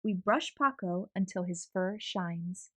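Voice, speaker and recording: A woman reading a children's picture book aloud with an expressive storytelling manner. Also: fairly low pitch, slow pace.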